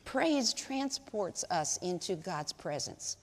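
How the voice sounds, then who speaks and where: A woman's voice: fairly low pitch, sing-song, takes it slowly. Older woman, lay speaker, chatty and folksy, audience questions, lapel mic, echoing sanctuary. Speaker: lay speaker at women's service. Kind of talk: informal church talk to a congregation. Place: large church sanctuary. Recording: long hall reverb in sanctuary.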